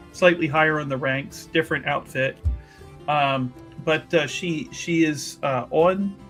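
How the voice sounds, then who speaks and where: A man speaking casually as a tabletop roleplaying player, halting and rambling with pauses mid-thought. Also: on the high side, fairly bright tone. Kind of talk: casual character introduction for an actual-play stream.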